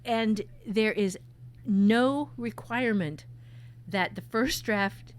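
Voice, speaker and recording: A woman hosting an informal talk as a writing teacher, emphatic and halting, often repeating words for stress. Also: mid-range voice. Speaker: podcast host and fiction-writing teacher. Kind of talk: informal writing advice in conversation.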